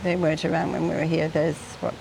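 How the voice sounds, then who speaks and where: A middle-aged Australian woman talks in a relaxed, rambling way, her voice fairly low and gravelly with fry. She pauses often on ums while she explains things. A clear hiss of outdoor noise sits under the recording. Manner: chatty, rambling, many ums. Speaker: hotel co-owner. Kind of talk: casual interview chat.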